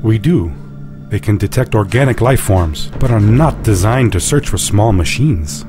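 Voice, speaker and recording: A man plays a doubting starship crewman in a sci-fi audio play. His voice is fairly low and plain-spoken, full of questions, with music clearly playing behind. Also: lively intonation, moderate pace, lightly acted. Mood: uneasy, practical. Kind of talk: scripted science fiction audio drama acting. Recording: music clearly under the dialogue.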